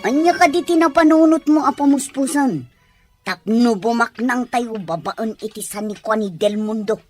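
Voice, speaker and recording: A woman's voice: fairly high pitch, moderate pace, darkish tone, soft background music, close to an everyday voice. A woman playing a scheming, money-hungry schemer in an audio drama, coaxing and insistent. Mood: insistent, greedy. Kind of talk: amateur audio drama acting.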